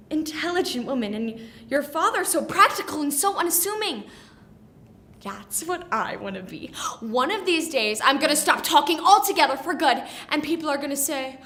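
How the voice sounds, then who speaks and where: A woman's voice: high voice, fairly bright tone. A woman playing a dreamy, naive pregnant young woman who rambles earnestly in a stage monologue. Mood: wistful. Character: chattering, dreamy, pregnant young Irish bride-to-be.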